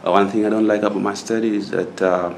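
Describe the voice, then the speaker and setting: A young man from Zambia answers interview questions in a very deep, gravelly voice, pausing often with fillers. Faint noise hums behind him. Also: little pitch movement. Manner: hesitant, many filler pauses. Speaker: student taking a mock speaking test.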